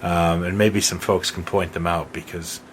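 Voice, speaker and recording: A man's voice: deep voice, little pitch movement, normal speed, slight background noise. A man co-hosting a podcast in an informal, conversational style. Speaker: podcast co-host. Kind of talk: casual chat.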